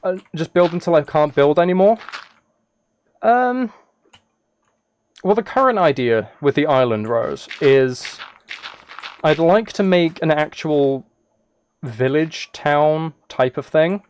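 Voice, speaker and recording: Higher-voiced man, playful livestream chat. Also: expressive, a little slow, pauses often, a little mellow. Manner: playful, teasing, rambling asides to viewers. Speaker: livestreamer and host. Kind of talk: casual livestream chat while playing a video game. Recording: clear noise with key clicks and typing. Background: keyboard typing and clicks.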